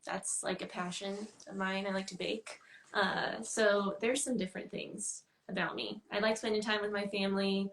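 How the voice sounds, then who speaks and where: A woman with a medium-pitched voice answers as a guest in a casual interview. She speaks informally and a little hesitantly, thinking aloud. The sound is a livestream call with clear room echo. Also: fairly even intonation, moderate pace, a bit bright. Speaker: guest musician being interviewed. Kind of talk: casual chat. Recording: roomy, echoing livestream call.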